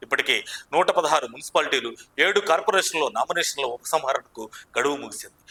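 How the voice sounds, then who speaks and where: A man's voice: on the high side, bright, forceful tone. A man reads scripted political news in an emphatic, dramatic manner. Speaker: news narrator.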